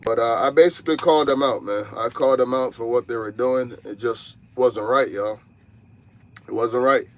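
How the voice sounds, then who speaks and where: A man's voice: medium pitch, moderate pace, a little hiss or hum. A man in his thirties, originally from Jamaica, chatting casually with viewers from inside his parked truck cab. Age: 30s. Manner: laid-back, talks straight to viewers, calls them y'all. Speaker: truck driver vlogger. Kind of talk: casual livestream chat.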